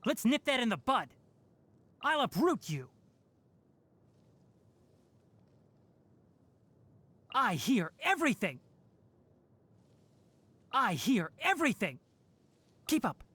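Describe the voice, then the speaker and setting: A wry young fox-eared ranger. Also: male voice, extremely high pitch, very animated, a little slow, long pauses, sharp tone, breathy edge, no echo, heavily acted. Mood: battle-ready. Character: young male forest ranger with fox ears and tail, calm and wry. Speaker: game character. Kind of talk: video game voice lines.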